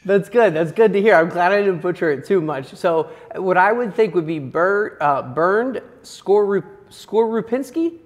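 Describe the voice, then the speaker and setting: An upbeat male host with a fairly high voice chats casually and fills his sentences with "uh" and "you know". His voice rings with a long reverb. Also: expressive, unhurried, few pauses. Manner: upbeat, filler-heavy, trailing off quietly. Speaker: show host. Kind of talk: casual interview chat.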